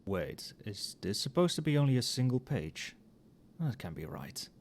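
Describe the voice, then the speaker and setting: A man playing an overworked, tipsy magic professor in a casual ASMR roleplay, muttering softly with dry, wry asides. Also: mid-range voice, very animated, moderate pace, slight background noise, lightly acted. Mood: mildly exasperated. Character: tipsy, overworked male magic professor grading late at night.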